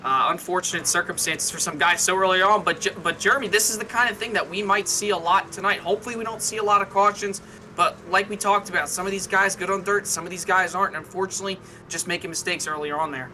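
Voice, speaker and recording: A man's voice: high voice, steady tone, fast, pushed and bright, a little hiss or hum. A man giving live co-commentary and analysis on a sim race in a casual, conversational way.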